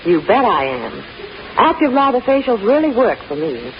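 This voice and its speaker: A woman's voice: medium pitch, big pitch swings, moderate pace. A woman reading a scripted 1950s radio commercial in an emphatic testimonial manner. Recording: dull, hissy old radio recording.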